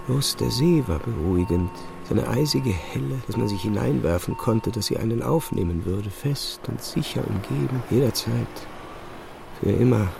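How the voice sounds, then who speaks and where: An actor playing an ailing, weary first-person narrator in a literary radio play, measured and introspective. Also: male voice, mid-range voice, animated, creaky. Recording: music bed behind narration.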